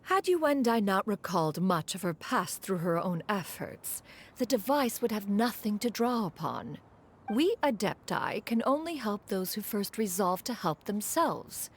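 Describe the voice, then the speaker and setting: An actress playing a proud, self-assured immortal inventor in a video game, speaking in a formal, archaic manner. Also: female voice, mid-range voice, very animated, unhurried, breathy edge. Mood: haughty. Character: dignified, proud immortal female adeptus inventor. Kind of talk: video game character acting.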